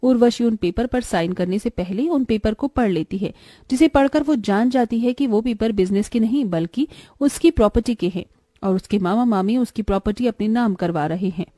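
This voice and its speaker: Female narrator, high pitch, scripted bedtime story narration, dry close sound. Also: normal speed, mellow, no echo.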